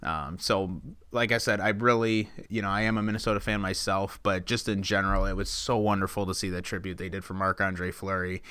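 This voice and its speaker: A man's voice: low-pitched, steady tone, a little fast, bright, forceful tone, smooth, no echo. A young man co-hosting a podcast, talking steadily and at length, with filler words along the way. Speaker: podcast co-host. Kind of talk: casual chat.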